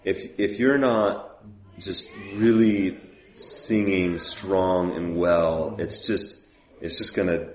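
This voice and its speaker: A man's voice: sits low, fairly even intonation, takes it slowly, roomy sound. A man who leads worship, talking casually and loosely about song keys, with many fillers. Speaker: worship leader teaching. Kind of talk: casual teaching talk.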